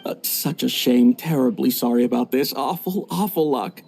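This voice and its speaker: A man's voice: medium pitch, moderate pace, vocal fry, darkish tone. An actor playing a flustered, evasive male tea shopkeeper in a video game, stammering nervously. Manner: stammering, nervous filler-laden speech.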